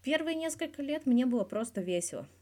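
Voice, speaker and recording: A woman's voice: sits high, animated, fairly quick, darkish tone, pitched above the performer's own voice. A woman voicing a guarded male hockey star in his thirties, talking candidly and wryly in a scripted interview from a fan fiction audio reading. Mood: reflective. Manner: candid, wry, colloquial with swearing.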